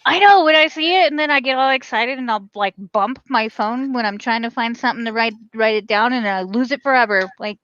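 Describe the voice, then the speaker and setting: Woman, mid pitch, dull lo-fi dry mic, giggly, profane co-host. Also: brisk, hardly any pauses, dry recording. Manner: animated, laughing, swears casually. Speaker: podcast co-host, veteran. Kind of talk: casual chat.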